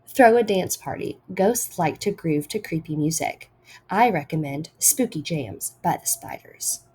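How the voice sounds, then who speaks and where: A woman reading a picture book aloud to children in a deliberate, expressive storytime style. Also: deep voice, a little hiss or hum.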